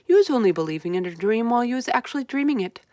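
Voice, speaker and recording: A woman's voice: on the high side, lively intonation, rapid-fire, dark tone, dry recording, pitched above the performer's own voice, natural voice. Female reader as big male storybook giant: sad, stubborn, kindly, rustic muddled grammar, dry sound. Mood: dismissive. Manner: folksy, ungrammatical, mangled invented words. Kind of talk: children's storybook read-aloud.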